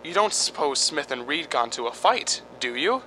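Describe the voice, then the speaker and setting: An actor playing a nervous, fretful property owner in a radio drama, excitable and urgent. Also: male voice, on the high side, moderate pace, slight background noise, low-quality recording. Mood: anxious, fretful. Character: jittery, fretful male property owner, easily agitated.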